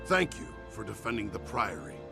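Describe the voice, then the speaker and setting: Hearty old dwarf for a fantasy game, gravelly and emphatic, music behind. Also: male voice, fairly low pitch, very animated, normal speed, heavily acted. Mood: hearty, grateful. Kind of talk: fantasy video game acting.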